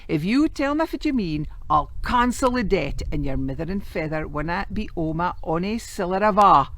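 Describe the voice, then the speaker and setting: A woman's voice: lowish voice, wildly swooping pitch, a little fast, breathy edge, no room sound, heavily acted. Woman doing a greedy Doric moneylender. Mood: demanding. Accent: Scottish, Doric. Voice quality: broad Doric speech. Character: greedy scheming male moneylender, gruff.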